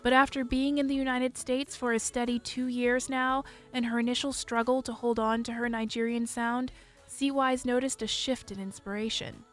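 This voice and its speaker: A woman reporter reading a scripted radio news feature in a measured, clear way. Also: on the high side, little pitch movement, faint music behind.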